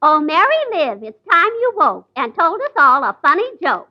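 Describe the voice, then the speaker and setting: A woman's voice: sits high, big pitch swings, normal speed. A woman playing a brassy, wisecracking female Blue Fairy who speaks in sing-song rhymes, in 1940s radio comedy. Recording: dull 1940s radio broadcast.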